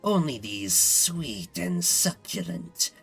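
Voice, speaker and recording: A man voicing a ravenous, gloating video game villain who feasts on soldiers, with drawn-out menace. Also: on the high side, big pitch swings, vocal fry, fairly bright tone, husky, faint music behind, heavily acted.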